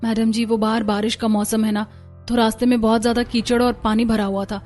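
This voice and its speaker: Woman as earnest young wife in a cartoon, polite, slightly high voice. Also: level tone, fairly quick, darkish tone, faint music behind, lightly acted. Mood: apologetic. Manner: polite, deferential. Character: dutiful, earnest young daughter-in-law. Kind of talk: Hindi cartoon story voice acting.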